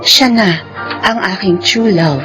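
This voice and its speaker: A woman's voice: medium pitch, a little slow, over music, close to an everyday voice. An actress playing an anxious, lovelorn young woman in an emotional, pleading way in a Filipino radio drama. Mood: wistful.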